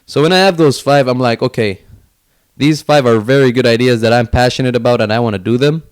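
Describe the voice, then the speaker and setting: A man's voice: medium pitch, brisk, smooth. A man from Finland chatting casually as an interview guest, in informal speech peppered with "like". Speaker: podcast guest, young entrepreneur.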